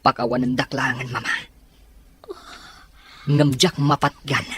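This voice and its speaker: An actor playing a determined grown son seeking justice, speaking earnestly with an Ilocano Filipino accent in an audio drama. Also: male voice, medium pitch, flat delivery, a little slow, fairly bright tone, slight background noise, natural voice. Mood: insistent. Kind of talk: Ilocano radio-style audio drama acting.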